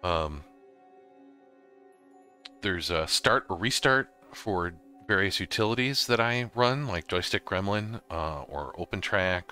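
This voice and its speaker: A man narrating a casual gaming software tutorial, explaining things informally step by step. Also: low voice, expressive, slow pace, faint music behind. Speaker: host of a gaming tutorial series. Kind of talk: casual software tutorial narration over slides and screen capture.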